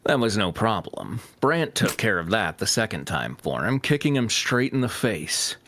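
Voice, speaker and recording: A man's voice: lowish voice, big pitch swings, moderate pace, sharp tone, a little hiss or hum. A man reading fanfiction aloud as a host, breaking off for joking banter.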